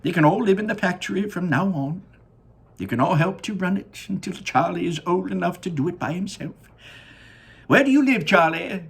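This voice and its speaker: A man voicing an eccentric, earnest old chocolate maker in a storybook, excitable and emphatic, with drawn-out words. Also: high-pitched, gravelly, husky, pitched above the performer's own voice, full character voice. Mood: urgent. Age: older.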